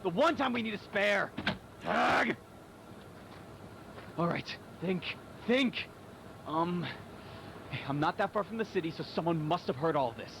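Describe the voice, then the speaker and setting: Man acting a gravelly cop muttering on noisy tape. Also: high-pitched, lively intonation, unhurried, pauses often, heavily acted. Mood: frustrated. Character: angry, determined male police officer on a manhunt. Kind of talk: analog horror voice acting.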